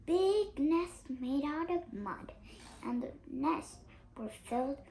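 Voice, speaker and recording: A young girl of about eight reads a children's story aloud in a high voice that swings up and down. She goes slowly and carefully, sometimes halting over words. There is clear noise in the home recording. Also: animated, darkish tone, poor audio. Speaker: young reader. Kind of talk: expressive storybook read-aloud.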